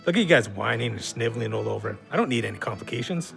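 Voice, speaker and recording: A man's voice: mid-range voice, animated, fast talker, gravelly, fairly bright tone, faint music behind. An actor in an audio drama playing a bossy, goading, foul-mouthed man who needles his friends with sarcasm. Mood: scornful, needling. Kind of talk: gritty contemporary audio drama dialogue.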